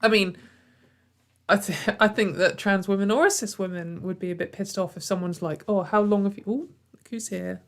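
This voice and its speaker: Woman host, casual commentary, mid-pitched, dry exasperated sarcasm. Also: fairly even intonation, moderate pace. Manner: exasperated, sarcastic, frequent sighs and fillers.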